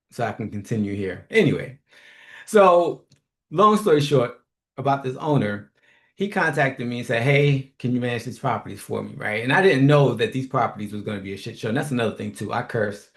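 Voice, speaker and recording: A man from New York, originally from Haiti, hosting a casual, unscripted online show, blunt and rough around the edges, often checking in with "right?". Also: medium pitch. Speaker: host, property manager and investor. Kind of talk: casual unscripted YouTube monologue.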